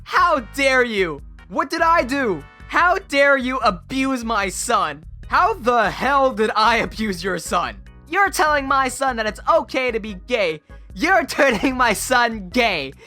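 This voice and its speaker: A narrator voicing a prejudiced, shrill entitled mother who shrieks accusations. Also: animated, slow, pushed and bright, faint music behind. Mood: indignant.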